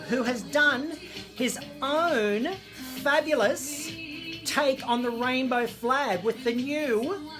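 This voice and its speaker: Slow, high-pitched theatrical woman host over music. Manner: theatrical, gushing, addresses audience as darlings. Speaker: host of an online children's story time. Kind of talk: chatty show and tell to viewers. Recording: music playing in the background. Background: background disco music.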